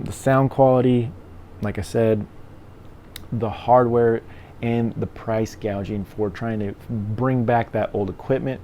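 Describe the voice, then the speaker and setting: A man's voice: mid-range voice, dark tone. A middle-aged man giving his opinions in a casual, conversational vlog style, rambling along as a reviewer. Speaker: music gear reviewer and vlogger. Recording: clear background noise.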